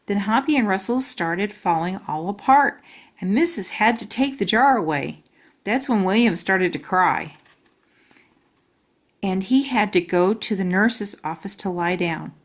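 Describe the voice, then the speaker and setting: A woman reading a children's storybook aloud as a storytime reader. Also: medium pitch.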